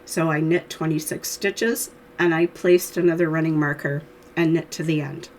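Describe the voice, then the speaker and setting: Hoarse lady with a cold doing a chatty knitting how-to, lowish voice, bit of hiss behind. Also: fairly even intonation, moderate pace, a little mellow, low-quality recording. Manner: friendly, chatty, with little laughs. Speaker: knitting teacher. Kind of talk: casual how-to tutorial.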